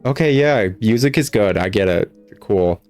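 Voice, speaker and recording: Low-voiced, exasperated man griping over game music. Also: lively intonation, normal speed, a little mellow. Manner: sarcastic, exasperated, chuckling griping. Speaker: gamer critiquing a video game. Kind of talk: casual gameplay commentary, reading dialogue aloud. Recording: music behind the voice. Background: video game music.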